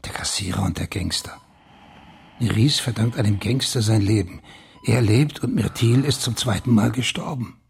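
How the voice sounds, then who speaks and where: An actor playing a sober, wry senior police official who questions in a measured way in a radio crime drama. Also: male voice, darkish tone, slight background noise. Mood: dry, wry. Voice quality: low, husky.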